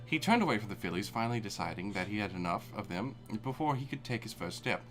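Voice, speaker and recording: A man's voice: fairly low pitch, animated, fast, fairly bright tone, faint music behind, low-quality recording. A man reading fan fiction aloud for laughs on a live stream. He reads dramatically, then breaks off to laugh and swear. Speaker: fanfic reader. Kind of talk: comedic live fanfic reading.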